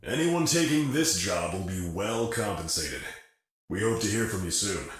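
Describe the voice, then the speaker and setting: An actor playing a frank, matter-of-fact corporate liaison giving a scripted mission briefing in a video game. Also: male voice, low voice, expressive, moderate pace. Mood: frank, businesslike. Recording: very roomy echo, loud music behind.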